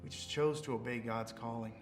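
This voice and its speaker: A man playing a devout, gently self-deprecating preacher, delivering a measured, reflective film-drama sermon with pauses. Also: mid-range voice, steady tone, natural voice. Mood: sincere, gently wry. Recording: music behind the voice.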